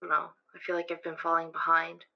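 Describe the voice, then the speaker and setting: A woman's voice: deep voice, completely flat delivery, fairly quick, creaky. A young woman playing an anxious, self-doubting student in an improvised audio drama, speaking hesitantly with stammers, on a slightly dull recording. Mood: anxious, dejected. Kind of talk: improvised actual-play audio drama, conversational.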